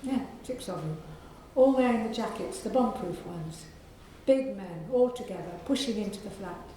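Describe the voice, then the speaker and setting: A woman with a soft, croaky voice and a mellow, dark tone reads from her own novel, measured and unhurried. She speaks in a very echoey library room with faint background noise. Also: mid-range voice, expressive. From England. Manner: measured reading with clearing of the throat. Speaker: author reading her novel. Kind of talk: reading aloud from a novel at a library event.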